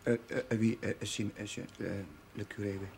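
A man's voice: fairly low pitch, fairly even intonation, normal speed, gravelly, darkish tone. A young man with a Dutch accent playing a flustered, apologetic curate in a television drama, hesitant and stammering. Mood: timid, deferential. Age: young adult.

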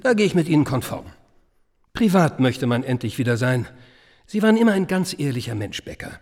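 Krug, cautious teacher in reverberant room. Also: male voice, medium pitch, sing-song, fairly quick, darkish tone. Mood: wary, confiding. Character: Krug, cautious conformist grammar-school teacher. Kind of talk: German radio play acting.

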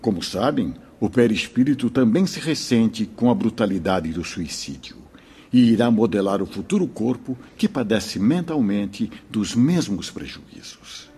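Very husky, solemn Brazilian spiritual mentor. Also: male voice, on the low side, expressive, warm tone, heavily acted. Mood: grave. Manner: measured, didactic, solemn. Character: wise, solemn male spiritual mentor, a fatherly priest. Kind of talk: Portuguese-language radio drama acting.

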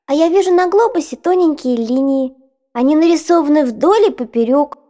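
Small child, inquisitive, mellow timbre, animated intonation, educational radio play. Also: female voice, high-pitched, normal speed. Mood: curious. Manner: inquisitive, naive. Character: curious young child asking father questions.

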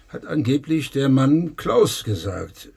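An actor playing a blunt, gossipy, smug surgeon in a radio play, speaking in a brusque, dismissive way. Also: male voice, mid-range voice, very animated, slow, gravelly, a little mellow, breathy edge, exaggerated voice.

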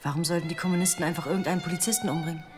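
A woman's voice: fairly low pitch, fairly even intonation, racing, music in the background, natural voice. An actress in a German radio drama playing a level-headed, sceptical young policewoman with a questioning manner.

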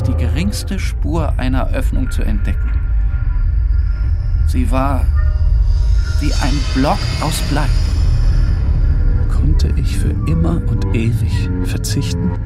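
Male narrator, very slow delivery, solemn, dramatic pauses, literary audiobook, clear music underneath. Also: medium pitch. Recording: music behind the voice.

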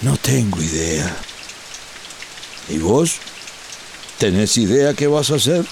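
Bereaved older village founder: humble, regretful, unhurried, widely swinging intonation, Central American Spanish accent, radio drama. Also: male voice, on the low side, big pitch swings, darkish tone, a little hiss or hum, exaggerated voice. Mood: grieving, wistful. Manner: mournful, drawn-out delivery.

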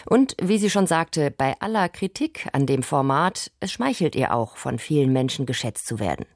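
A woman with a low-pitched voice narrates a radio feature from a prepared script. The sound is dry, with hardly any room around her. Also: sing-song, normal speed, no room sound.

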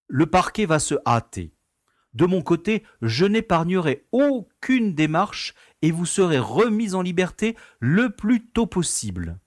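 An actor playing a fussy, affable prison director in an audio drama, speaking formally with courteous condescension. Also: male voice, a little high. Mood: placating. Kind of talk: French audio drama acting.

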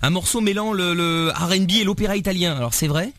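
Fairly high-voiced hesitant man hosting. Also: quick pace, fairly bright tone, no room sound. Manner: hesitant, many fillers, joking. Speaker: radio host. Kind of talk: radio interview.

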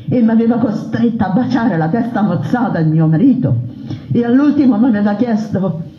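A woman performing a theatrical monologue as a defiant peasant brigand woman, speaking with impassioned, declamatory force. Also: on the low side. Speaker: narrator voicing a brigand woman. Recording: roomy dull radio broadcast.

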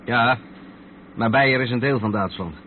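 An actor playing a calm truck driver who explains things patiently to his mate in a radio drama. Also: medium pitch, moderate pace, close to an everyday voice. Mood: patient, explanatory. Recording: tinny narrow-band radio recording with vehicle noise.

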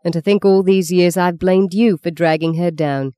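A man's voice: sits high, fairly even intonation, normal speed, warm tone, clear, resonant tone, dry recording, natural voice. A man voicing a wounded, scornful young man in an audiobook, speaking with bitterness. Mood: bitter mockery. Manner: mocking, embittered.

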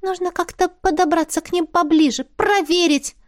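Woman voicing a shrill bossy little spirit. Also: fairly even intonation, moderate pace. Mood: earnest. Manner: businesslike, indignant, hissing when alarmed. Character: small bossy female spirit, shrill and fussy.

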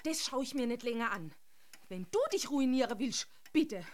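An actress playing a fed-up wife who scolds insistently, in a radio drama. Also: female voice, on the high side, lively intonation, moderate pace, lightly acted. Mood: scolding.

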